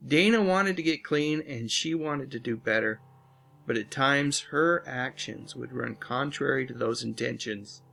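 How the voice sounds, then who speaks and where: A man hosting and narrating a scripted video essay, with earnest, dramatic phrasing and drawn-out endings. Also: mid-range voice, gravelly, a bit bright. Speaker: host, pop culture commentator. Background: music bed.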